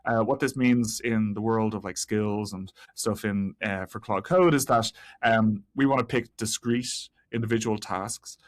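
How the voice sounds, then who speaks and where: A man being interviewed on a podcast as an engineer, explaining technical work in a halting way with repeated words and many hedges. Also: lowish voice, fairly even intonation. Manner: halting, hedging with frequent 'like' and 'kinda', repeated words. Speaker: guest, senior software engineer.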